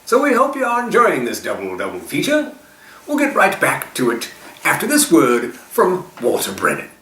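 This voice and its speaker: A man's voice: fairly high pitch, wildly swooping pitch, normal speed, fairly bright tone. A man casually presenting film trivia as host of a retro TV show. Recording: roomy, distant mic with faint noise.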